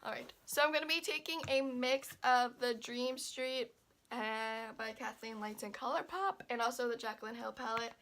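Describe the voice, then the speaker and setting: A young woman chatting through a makeup tutorial. She sounds stuffy and a little raspy, and she rambles with lots of fillers and restarts. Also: a little high, normal speed, a bit bright. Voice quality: slightly raspy. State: stuffy and congested. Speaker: beauty vlogger.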